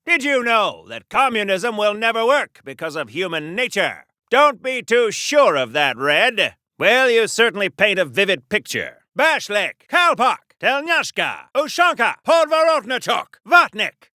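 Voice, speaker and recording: A man's voice: high-pitched, sing-song, a little slow, hardly any pauses, pushed and bright, no room sound, clean studio sound, full character voice. A man playing an earnest, prim 1940s patriotic soldier superhero in a game, with quaint, polite and theatrical delivery. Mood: declaiming. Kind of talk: golden-age comic book hero game dialogue.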